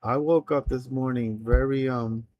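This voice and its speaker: A man whose first language is Spanish talks through an app plan on a live coding stream. His delivery is halting, full of restarts and unfinished phrases. He sits at a mid pitch for a man, and the close, dry sound has almost no room in it. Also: steady tone, no echo, lo-fi sound. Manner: halting, reads plans aloud with many restarts.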